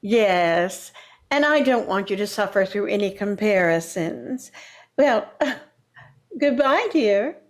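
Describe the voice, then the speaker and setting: A woman's voice: mid-range voice, lively intonation, takes it slowly. An actress playing a condescending older mother-in-law, sugary and backhanded, in vintage radio sitcom style. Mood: patronizing.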